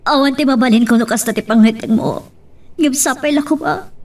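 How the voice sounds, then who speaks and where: Pleading, defiant mother, high voice, a little off-mic, melodramatic radio drama. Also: a little fast, darkish tone, far from the mic, slight background noise.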